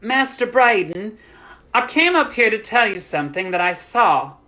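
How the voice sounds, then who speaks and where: A woman voicing a nervous, earnest young servant girl in a storybook, halting and stammering. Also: mid-range voice, expressive, pushed and bright, pitched above the performer's own voice, poor audio. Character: nervous, feral twelve-ish servant girl, blurting and earnest.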